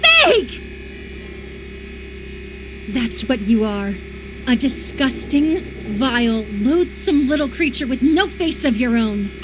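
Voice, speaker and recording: A woman playing an obsessive, sweetly menacing young female captor in a dramatic horror visual novel, coaxing with a sharp edge. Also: sits high, big pitch swings, slow pace, pauses often, noisy background, heavily acted. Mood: angry, bitter.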